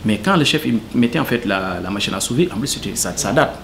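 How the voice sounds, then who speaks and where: A man's voice: mid-range voice, lively intonation, quick pace, noisy background. A man from Senegal, answering interview questions earnestly and hesitantly, full of fillers. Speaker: cook, interviewee.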